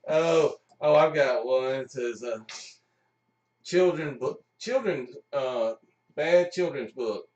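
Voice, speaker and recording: A man's voice: medium pitch, animated, slow, darkish tone, muffled recording. A man joking around in a casual group chat, often laughing as he talks. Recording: roomy, picked up far from the mic.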